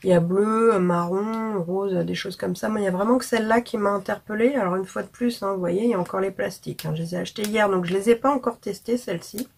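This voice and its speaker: A woman talks to her viewers in a relaxed, chatty vlog manner, full of fillers and frank slang. Her voice is husky and hoarse from illness, with a gravelly, creaky edge, sitting at a middle pitch. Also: fast, hardly any pauses. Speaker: beauty vlogger. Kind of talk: casual haul chat to camera.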